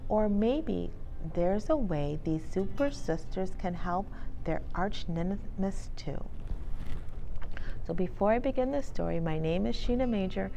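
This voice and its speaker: Woman reading a storybook with lively swoops. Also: medium pitch, unhurried, creaky, a little hiss or hum, poor audio. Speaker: host and life coach reading a children's book. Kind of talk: expressive storybook read-aloud with casual introduction. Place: inside a bookstore.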